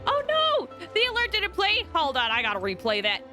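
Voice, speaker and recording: A woman livestreaming a game, casually commenting on play and chatting to viewers with rambling, expressive asides. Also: very high-pitched, moderate pace, over music. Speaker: game streamer.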